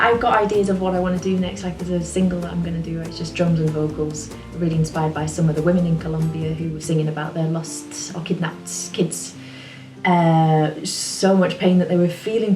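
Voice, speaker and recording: A singer-songwriter woman talking casually and rambling a little about her music from her small home studio. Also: low-pitched. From England. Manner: chatty, relaxed, rambling. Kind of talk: casual talk to camera. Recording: roomy, a little distant from the mic, music behind.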